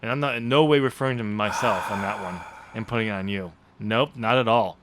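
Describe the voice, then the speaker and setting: A man's voice: on the low side, brisk, fairly bright tone. A man chatting casually as a podcast host and author, with joking, teasing banter.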